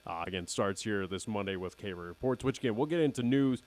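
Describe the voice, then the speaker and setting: A man's voice: on the low side, fast, darkish tone. Young man rambling on radio. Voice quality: slightly raspy, nasal. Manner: rambling, keeps repeating "again". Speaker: radio host. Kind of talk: casual radio chat.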